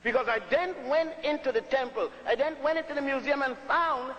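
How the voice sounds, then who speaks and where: A man's voice: extremely high pitch, a little fast, fairly bright tone, muffled recording. An older man from Puerto Rico giving an emphatic, storytelling public lecture in a hall, repeating words for stress. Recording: echoey hall.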